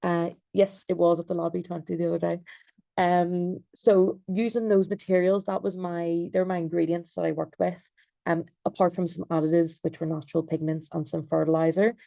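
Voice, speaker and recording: A woman's voice: on the low side, flat delivery, moderate pace, gravelly, mellow, no room sound. A young Irish woman giving a presentation over a video call, hesitant, with many fillers and restarts. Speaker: designer and researcher.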